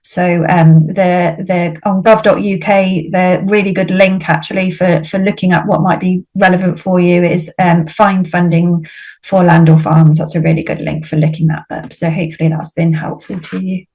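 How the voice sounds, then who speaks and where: A woman presenting in an online webinar over a video call, halting and using many ums. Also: fairly low pitch, level tone, a little mellow, poor audio. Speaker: webinar speaker.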